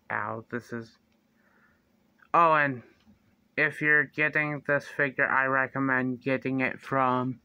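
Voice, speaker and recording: Slow, gravelly young man reviewing toys. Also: mid-range voice, pushed and bright, dry recording, highs cut off. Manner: slow, halting, with many fillers and restarts. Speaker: toy reviewer. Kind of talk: casual toy review. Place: small bedroom indoors. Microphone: phone or webcam close to the face.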